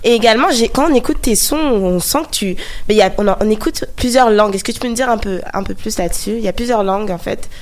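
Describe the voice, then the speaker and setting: A woman's voice: medium pitch, fast talker, few pauses. A woman hosting an interview, asking friendly questions. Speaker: show host.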